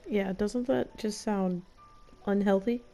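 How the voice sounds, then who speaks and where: A woman comments on a game as she streams it, in a mid-pitched voice at a slow pace. She is playful and theatrical with deadpan jokes, over faint music. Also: gravelly. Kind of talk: playful live gameplay commentary with improvised dramatics.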